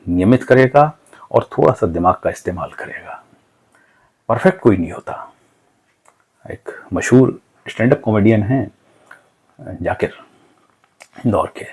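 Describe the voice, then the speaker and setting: A man's voice: fairly low pitch, breathy edge. A man teaching informally and earnestly, offering motivational advice with rhetorical questions and listed examples. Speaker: cartooning teacher and channel host. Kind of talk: informal motivational lesson talk.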